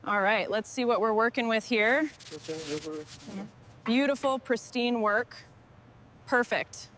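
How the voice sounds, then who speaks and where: Higher-voiced woman over workshop noise. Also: takes it slowly. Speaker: mechanic narrating restoration work. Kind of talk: short hands-on work commentary.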